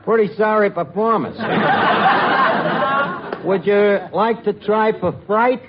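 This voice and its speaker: A man's voice: sits high, crowd noise. A man playing a wisecracking, unlettered tavern keeper in a radio comedy, with a New York accent and colloquial delivery. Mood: wry, chatty. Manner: colloquial, mangled grammar, drawn-out quips. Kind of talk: 1940s-50s radio comedy acting. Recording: muffled, very noisy old radio, audience.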